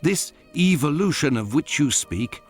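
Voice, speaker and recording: An actor playing an earnest, troubled gentleman, a detective's loyal companion, in a video game, speaking formally. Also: a little high, lively intonation, a little slow, faint music behind. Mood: troubled, questioning. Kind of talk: scripted video game acting.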